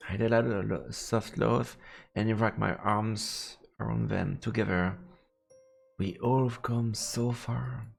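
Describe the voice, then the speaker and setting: A man's voice: on the low side, steady tone, takes it slowly, gravelly. A man reading visual novel narration aloud as he streams a let's play. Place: indoors, streaming setup. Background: faint game music.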